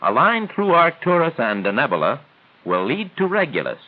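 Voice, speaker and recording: A man's voice: on the high side, wildly swooping pitch, phone-quality sound. A man reading scripted instructional narration in a formal, didactic 1940s training film style. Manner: formal, didactic, measured phrasing. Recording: thin, band-limited 1940s film soundtrack.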